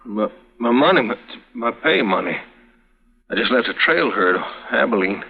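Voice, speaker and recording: An actor playing a wounded young cowhand who talks in weak, halting, guarded bursts, in a 1950s radio drama. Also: male voice, medium pitch, lively intonation, gravelly, breathy edge. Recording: muffled old radio broadcast.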